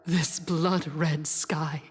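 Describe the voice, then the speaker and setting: A fanatical female zealot, intones very slowly in a long, cavernous reverb. Her delivery is theatrical, reverent and contemptuous, a fantasy game voice with faint music underneath. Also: low voice, fairly even intonation, breathy edge, exaggerated voice. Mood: fervent, pitying. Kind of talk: English video game voice acting.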